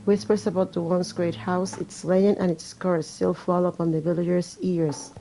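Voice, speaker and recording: A woman with a lowish, dark and relaxed voice plays a game on a home recording with faint noise. She reads the text aloud and slips into casual, sarcastic asides. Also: moderate pace, gravelly, low-quality recording. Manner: sarcastic, wry, swearing asides. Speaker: let's play streamer.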